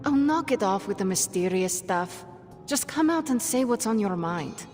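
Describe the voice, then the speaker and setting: A woman's voice: medium pitch, expressive, moderate pace, darkish tone, natural voice. Wisecracking heroine, female actor: brash, sarcastic, game cutscene, long reverb over light music. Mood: sarcastic, impatient. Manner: sarcastic, impatient. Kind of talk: video game cutscene acting.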